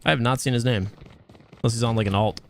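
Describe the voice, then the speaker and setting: A young man hosting and casting a live mobile game tournament, chatty and talking to his chat in a soothing, relaxed voice. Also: medium pitch, quick pace. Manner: chatty, talks to chat, restarts words. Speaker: tournament host and caster. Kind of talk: live stream esports commentary.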